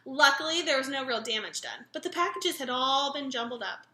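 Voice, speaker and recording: A woman's voice: sits high, lively intonation, brisk, pushed and bright, roomy sound. A woman reading a children's picture book aloud expressively, engaging her young listeners as she goes. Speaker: read-aloud storyteller.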